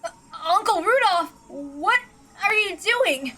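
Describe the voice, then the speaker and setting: A woman's voice: extremely high pitch, lively intonation, slow, sharp tone, faint music behind, pitched above the performer's own voice, heavily acted. A woman voicing a frightened, wounded young man in a visual novel, pleading breathlessly through tears and pain. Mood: bewildered, frightened. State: crying in pain. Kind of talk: dramatic visual novel character reading.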